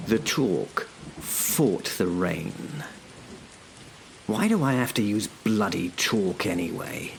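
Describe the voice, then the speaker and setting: Grumbling mage-professor, dry lecturing asides, lively pitch, slow unhurried delivery, rain and storm behind. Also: male voice, creaky, background noise, full character voice. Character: wry grumbling older male British professor-mage. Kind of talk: audio drama monologue.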